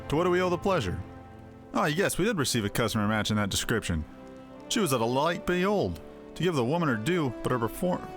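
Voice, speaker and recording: A man voicing a haughty, fastidious wine merchant in a fantasy game, speaking formally with arch disdain. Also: mid-range voice, close to an everyday voice.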